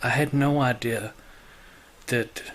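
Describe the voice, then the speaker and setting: A man with a weak voice that is starting to give out, casually talking through a software tutorial in an informal, self-deprecating way. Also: medium pitch, steady tone, slow, a little hiss or hum. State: weak voice, losing his voice. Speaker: tutorial host. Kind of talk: casual screen-recorded software walkthrough.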